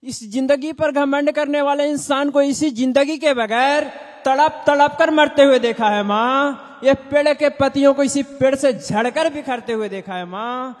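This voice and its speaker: Young man, very high voice, declamatory open mic poet, reverberant hall. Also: moderate pace. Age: young adult. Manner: declamatory, repeating refrains with rising and falling emphasis. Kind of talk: reciting Hindi poetry on stage. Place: indoor event stage before an audience. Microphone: stand mic.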